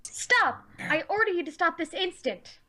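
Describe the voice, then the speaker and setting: A woman's voice: very high voice, lively intonation, unhurried, bright, forceful tone, heavily acted. A woman playing an imperious pony Overmare, stern ruler of an underground vault, in a dramatic fan audiobook. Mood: alarmed, commanding. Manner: authoritative, imperious.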